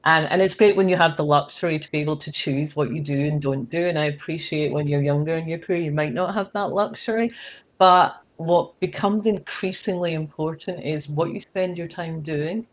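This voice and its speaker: A woman's voice: deep voice, normal speed. A middle-aged woman with a Scottish accent, talking candidly and chattily as a guest on an interview podcast. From Scotland. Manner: candid, chatty, laughs at herself. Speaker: guest, company CEO.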